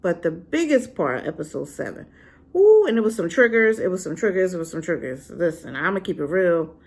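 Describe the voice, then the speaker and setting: A divorced adult woman giving a sassy, animated casual review straight to her viewers. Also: fairly low pitch, brisk, gravelly, breathy edge. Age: adult, been married and divorced. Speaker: drama reviewer.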